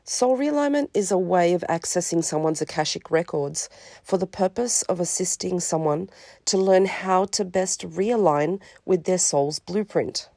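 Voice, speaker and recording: Woman, a bit sniffly, calm counsellor explaining her service, low mellow voice, dry close recording. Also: unhurried, creaky, dry recording. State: a little sniffly from a cold. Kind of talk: scripted promotional talk.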